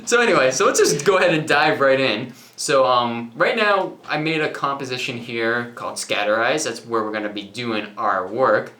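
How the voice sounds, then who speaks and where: A young man co-hosting a casual software tutorial, talking through each step with jokey, self-mocking asides. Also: mid-range voice, lively intonation, moderate pace, hardly any pauses, echoey room. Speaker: tutorial co-host. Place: small home recording studio.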